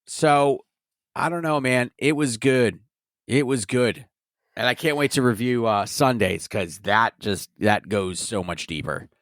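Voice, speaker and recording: A man's voice: medium pitch, a little slow, dry recording, clean studio sound. A man co-hosting a podcast in casual chat, laid-back and easygoing. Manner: laid-back, lively intonation. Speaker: podcast co-host.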